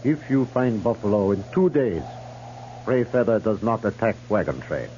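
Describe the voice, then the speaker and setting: An actor playing a proud, wary Arapaho chief who speaks terse broken English, in a 1950s radio western. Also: male voice, lowish voice, lively intonation, normal speed, dark tone, lightly acted. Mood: grave, unyielding. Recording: muffled, noisy 1950s radio recording.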